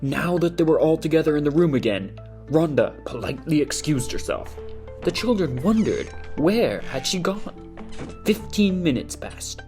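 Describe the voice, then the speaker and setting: A man's voice: on the high side, very animated, normal speed, a little mellow, soft background music. A man playing the narrator of a children's mystery audio drama, telling it in scripted storybook style. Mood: measured storytelling.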